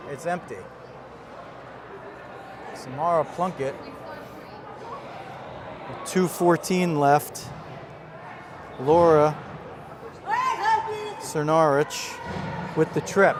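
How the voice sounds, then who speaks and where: A man doing live play-by-play commentary on ice hockey in clipped running calls of the action. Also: a little high. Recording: loud crowd and rink noise. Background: crowd and rink noise.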